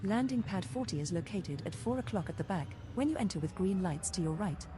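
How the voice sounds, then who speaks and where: A woman's voice: medium pitch, expressive, brisk, noisy background, natural voice. A woman voicing an obliging, cheeky spaceship co-pilot assistant, making polished announcements for a space game.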